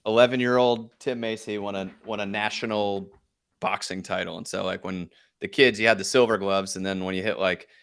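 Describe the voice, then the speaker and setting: A man's voice: on the low side, steady tone, a little fast, gravelly, fairly bright tone, smooth. A man, a podcast guest, telling stories casually in a self-deprecating, joking way. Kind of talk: casual conversational storytelling.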